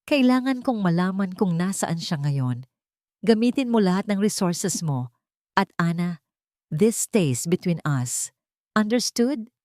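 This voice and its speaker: A woman plays a wealthy man quietly set on revenge in an audio drama. The delivery is authoritative and controlled, with clipped orders, recorded very dry. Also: on the low side, lively intonation, unhurried, dry recording. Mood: brisk, urgent. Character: wealthy, commanding man secretly plotting revenge.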